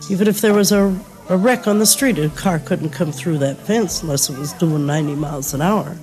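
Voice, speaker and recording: A woman's voice: on the low side, a little fast, darkish tone, soft background music, poor audio. A woman giving plain spoken testimony in a recorded interview for a 1950s radio documentary. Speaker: interviewed mother.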